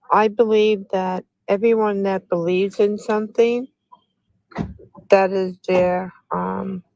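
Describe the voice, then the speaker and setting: A woman in her seventies speaks slowly and gently with hesitant pauses. Her voice comes through dull and muffled. The sound is dry, with no room echo. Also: medium pitch. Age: 70s. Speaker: livestream guest. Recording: dull, dry remote audio.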